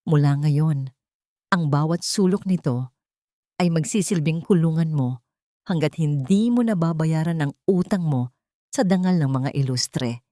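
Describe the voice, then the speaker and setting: A cruel, wealthy matriarch of an audio drama, acted out by a woman narrator. She is commanding and menacing, issuing cold threats in a slightly low voice. The sound is close and dry, with no room around it. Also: unhurried, warm tone, no room sound. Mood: cold, domineering. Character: cruel, domineering rich matriarch, threatening mother-in-law.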